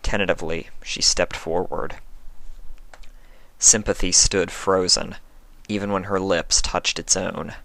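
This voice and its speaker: A man with a low voice narrates a written story. He is recorded close and dry, reading from a script as the storyteller. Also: level tone, measured pace, long pauses, sharp tone, no room sound, poor audio. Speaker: narrator, fan fiction reader.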